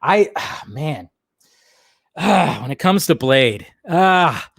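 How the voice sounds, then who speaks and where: A man's voice: fairly high pitch, sing-song, slow, gravelly, a bit bright, no echo, studio quality. A man hosting a live stream, chatting casually and loosely to his viewers and often repeating his phrases. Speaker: host of a live pop-culture talk stream. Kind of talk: casual rambling livestream chat.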